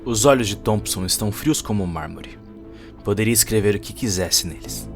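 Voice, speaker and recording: A low male voice narrates a cyberpunk tale in Brazilian Portuguese. The reading is scripted and dramatic, with music playing faintly behind it. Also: moderate pace.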